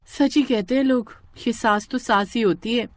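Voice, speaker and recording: A woman's voice: fairly high pitch, normal speed, no echo, close to an everyday voice. A woman voicing a sweet, eager young daughter-in-law in a cartoon story, with a girlish manner. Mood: sweet, apologetic.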